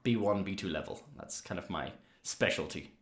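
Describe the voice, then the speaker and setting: Relaxed man, fading phrase ends. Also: deep voice, normal speed. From Ireland. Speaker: English teacher, regular guest. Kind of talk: casual teaching chat. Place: home room, indoors. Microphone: studio mic on a video call.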